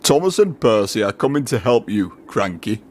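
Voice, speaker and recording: A man's voice: lowish voice, sing-song, moderate pace, darkish tone. A man reading a children's storybook, voicing an authoritative male railway boss. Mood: reassuring.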